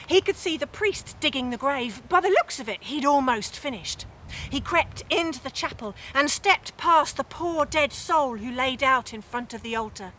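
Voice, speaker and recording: Animated high-pitched woman storyteller. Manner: expressive, dramatic pauses and emphasis. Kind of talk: theatrical oral storytelling of a humorous medieval tale.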